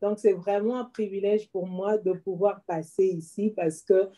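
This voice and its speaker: A woman's voice: medium pitch, steady tone, normal speed, mellow. A middle-aged woman from Congo, telling her life story as an interview guest in a modest, earnest way.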